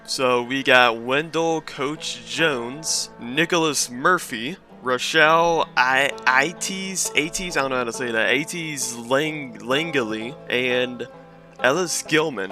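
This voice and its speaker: Male video commentator, bright forward voice, hesitant and rambling casual delivery, faint music underneath. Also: medium pitch. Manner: rambling, hesitant, self-deprecating. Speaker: video host and reviewer.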